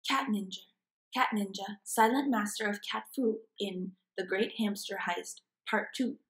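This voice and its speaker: A woman's voice: mid-range voice, unhurried, a bit bright. A woman in her twenties narrating a comic book read-aloud as an after-school storyteller, recorded indoors at home. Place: small room at home, indoors.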